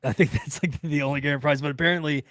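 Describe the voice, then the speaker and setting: A man's voice: on the high side, fairly even intonation, quick pace, fairly bright tone, no echo. Casual man in a dry studio. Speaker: podcast co-host. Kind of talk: casual banter. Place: podcast studio.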